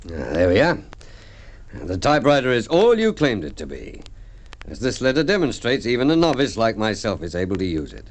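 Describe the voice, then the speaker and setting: A man's voice: fairly low pitch, wildly swooping pitch, a little fast. A man playing a smug, suave company director with a glib, self-assured manner in a mid-century radio drama. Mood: affable, brisk.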